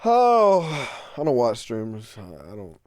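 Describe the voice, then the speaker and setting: A man's voice: mid-range voice, sing-song, unhurried, darkish tone, no room sound, clean studio sound. A man in his twenties hosting a casual podcast, swearing and laughing often. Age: 20s. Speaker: podcast host. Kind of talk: casual chat.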